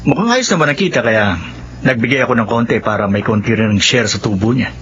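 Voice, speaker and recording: An actor playing an evasive, defensive middle-aged husband with a Filipino accent in a radio drama. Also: male voice, medium pitch, lively intonation, quick pace, a bit bright, natural voice. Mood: explaining. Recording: muffled radio recording with faint noise.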